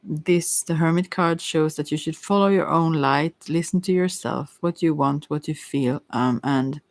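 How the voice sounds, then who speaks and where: A woman with a creaky voice giving an ASMR tarot reading in a hesitant, rambling, casual way, often trailing off. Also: deep voice, a little slow, darkish tone, dry recording. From Sweden. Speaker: tarot reader, ASMR creator.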